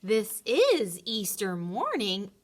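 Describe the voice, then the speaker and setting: A woman voicing young squabbling kitten sisters in a storybook, squeaky, mewing and plaintive. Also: huge pitch swings, slow pace, exaggerated voice. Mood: puzzled searching.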